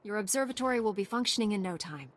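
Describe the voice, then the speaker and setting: An actress playing a confident female warrior monk in a video game, calm, assured and formal. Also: medium pitch, quick pace, slight background noise, lightly acted. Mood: calm, resolute. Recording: faint music behind the voice.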